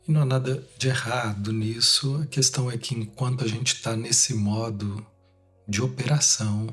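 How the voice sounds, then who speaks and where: A Brazilian man leading a guided meditation, speaking in short, unhurried phrases with long pauses between them. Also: lowish voice, a bit bright, slightly rough. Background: music.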